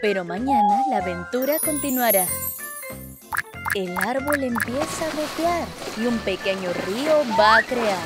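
A woman with a fairly high, lively voice narrates a children's cartoon story. She tells it in singsong rhyme, and loud music plays behind her. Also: a little slow.

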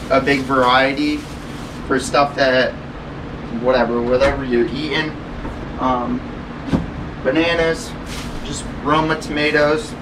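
A man's voice: on the high side, noisy background. Young New man, rambling vlog talk. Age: young adult. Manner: rambling, filler-heavy, earnest. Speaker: vlogger. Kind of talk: casual vlog talk to camera. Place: kitchen indoors, then outdoors on railroad tracks in woods.

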